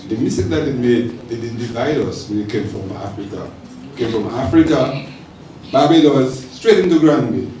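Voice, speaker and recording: A Dominican man speaks up from the floor at a public talk in an animated, storytelling way. His voice is picked up from a distance, with very strong room echo and clear noise around it. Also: medium pitch, normal speed. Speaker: audience member, local communicator and radio presenter. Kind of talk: impromptu comment and question at a public lecture. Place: echoing lecture hall, public event. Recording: echoey hall, distant mic, clear background noise.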